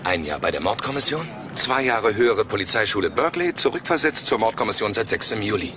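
An actor playing an eager, overly correct police sergeant, formal and deferential, in a German crime audio drama. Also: male voice, on the low side, sing-song, fast talker, background noise. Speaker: detective sergeant.

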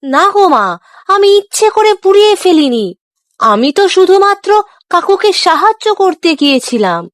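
Woman as a helpful cartoon village boy, very high lively voice, earnest pleading, dry sound. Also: normal speed, no echo, heavily acted. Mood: defensive. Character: eager, helpful, apologetic young village boy. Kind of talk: children's cartoon dialogue.

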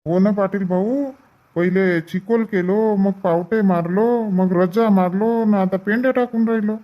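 A man's voice: sits high, fairly even intonation, measured pace. Guy doing a village farm worker in a comedy cartoon, vidarbha marathi accent, grumbly drawl, kinda muffled audio. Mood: complaining, joking. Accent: rural Vidarbha Marathi. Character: male villagers in a comic paddy field, bantering and grumbling.

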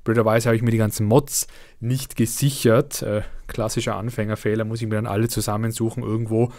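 A man's voice: lowish voice, little pitch movement, a little fast, a little mellow. A man, slightly under the weather, giving his viewers a casual monthly update on his gaming videos, chatty with fillers and an uneven pace. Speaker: let's play channel host.

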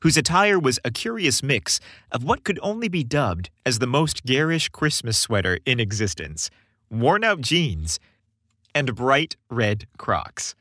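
Male narrator, scripted fiction, very animated pitch, dry close sound. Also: unhurried, no echo. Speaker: story narrator. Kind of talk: scripted audiobook-style narration.

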